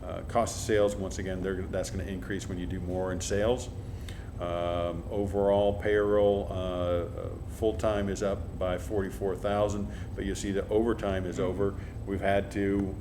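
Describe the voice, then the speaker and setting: A man presents a utility's finances at a community meeting, pausing on many fillers. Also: deep voice. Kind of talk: meeting report. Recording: noisy room with air conditioning hum.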